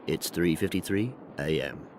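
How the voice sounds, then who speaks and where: A man playing a mellow, dreamy late-night ambient radio DJ, delivering soothing, unhurried, hypnotic patter. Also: rumbling low, lively intonation, noisy background.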